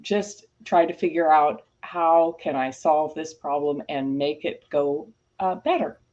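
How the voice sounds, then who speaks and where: A woman with a slightly low voice talks on a video call with dull, lo-fi sound. She speaks thoughtfully and often pauses with small fillers. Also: a little mellow. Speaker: guest, podcast host. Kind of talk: casual interview chat.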